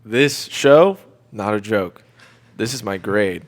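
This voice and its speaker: A man's voice: on the low side, lively intonation, slow pace. A man in his twenties hosting a student radio show, chatting casually in a joking, teasing way. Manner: jokey, teasing. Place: community radio studio.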